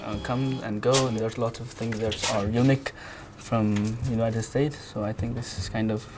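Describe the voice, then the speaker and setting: Teenage boy from Nepal, halting English. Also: mid-range voice, steady tone, music in the background. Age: teens. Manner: halting, restarts often, plain simple English. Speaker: student showing his community. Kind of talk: casual documentary talk.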